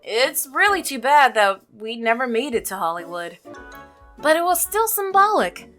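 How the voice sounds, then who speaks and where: A woman's voice: on the high side, sing-song, normal speed, a bit bright, faint music behind. Woman voicing an earnest, devoted milkman. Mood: fond, devoted. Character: earnest, devoted married milkman, practical working man. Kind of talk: visual novel character reading.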